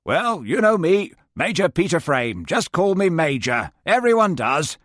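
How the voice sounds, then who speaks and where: A man's voice: on the high side, sing-song, a little slow, fairly bright tone, no echo, clean studio sound, voice pushed up, full character voice. A man voicing a petulant older male major, self-important.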